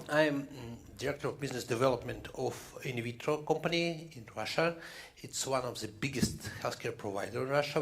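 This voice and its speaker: Gravelly-voiced man, halting. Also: medium pitch, a bit bright. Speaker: business development director, panelist. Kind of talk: conference panel introduction.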